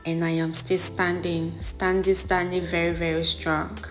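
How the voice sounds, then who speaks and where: A woman's voice: lowish voice, flat delivery, a little slow, faint music behind. A woman vlogger, a wig maker, telling her personal story in a voice-over. She speaks earnestly and encouragingly and repeats phrases for emphasis.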